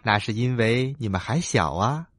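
A man's voice: mid-range voice, lively intonation, moderate pace, fairly bright tone, no room sound, studio quality, faint music behind, voice pushed down. A man voicing a loving, patient mother frog in a children's story, tender and explanatory. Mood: tender, amused.

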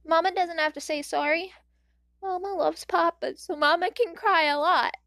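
Woman doing a soft-spoken little boy. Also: very high voice, moderate pace, no echo, studio quality, voice pushed up. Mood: earnest. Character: young boy, gentle and determined.

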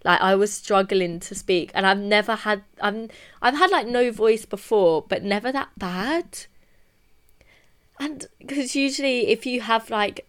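A young woman, a podcast co-host, chatting casually and telling a story with lots of "like" fillers. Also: a little high. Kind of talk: casual chat.

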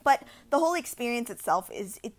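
A young woman from India co-hosting a podcast, telling a story in a casual, animated way with lots of "like" fillers. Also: on the high side, a little fast. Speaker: podcast co-host. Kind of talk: casual chat.

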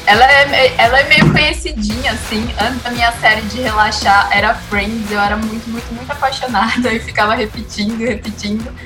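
A young Brazilian woman with a somewhat high voice speaks as a podcast panelist. She talks in a casual, conversational way. Music is clearly audible behind her. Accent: Brazilian Portuguese. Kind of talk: casual chat.

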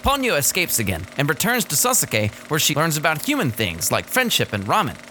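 Man, animated scripted narration, crisp bright tone, some background noise. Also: a little high, a little fast, low-quality recording. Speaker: podcast plot summary reader.

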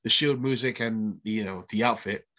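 A man's voice: on the low side, normal speed, sharp tone, highs cut off. A man co-hosting a casual podcast while snotty with a cold, chatty and laughing often. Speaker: podcast co-host.

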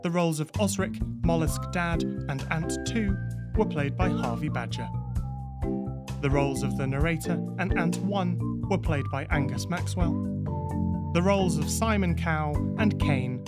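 A man's voice: on the high side, a little slow. Male podcast presenter over guitar music. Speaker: podcast presenter reading credits and sign-off. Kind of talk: scripted podcast announcement. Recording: loud guitar music behind the voice.

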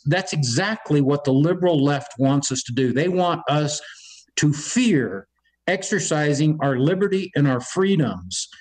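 A man's voice: medium pitch, poor audio. A man from Cuba speaking emphatically about politics on a video call, often restarting his words. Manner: emphatic, repeats words when starting. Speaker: political guest. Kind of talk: impassioned political talk.

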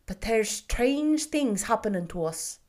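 A woman's voice: fairly high pitch, lively intonation, a little slow. Woman doing a fretful Irish housemaid. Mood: urgent. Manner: loud, exclaiming, bemoaning.

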